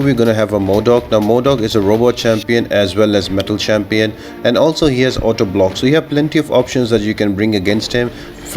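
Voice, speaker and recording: A man's voice: fairly low pitch, a little mellow. A man doing instructional commentary for a video game guide, explaining tactics step by step. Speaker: gaming video creator.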